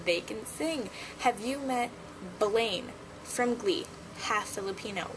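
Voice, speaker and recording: A young woman talks casually to her camera, playful and prone to giggling. Her voice sits a little high. There is noticeable noise behind her. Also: takes it slowly. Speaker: vlogger. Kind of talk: casual vlog chat.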